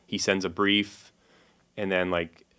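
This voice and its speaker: A man, a guest musician and producer, telling stories in a relaxed, casual interview chat with many fillers. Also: deep voice, takes it slowly, clean tone.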